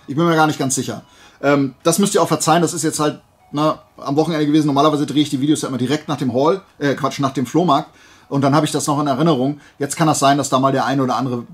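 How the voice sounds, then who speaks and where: A man hosting a haul video, rambling casually to his viewers, often drifting off track and correcting himself. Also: medium pitch. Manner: chatty, digressive, self-correcting. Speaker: flea market haul video host.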